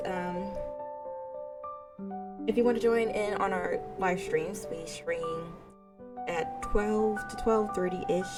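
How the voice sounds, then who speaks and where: A woman streamer doing casual, playful let's-play commentary and reading a visual novel aloud in an informal, self-deprecating way. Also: lowish voice. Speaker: gaming streamer.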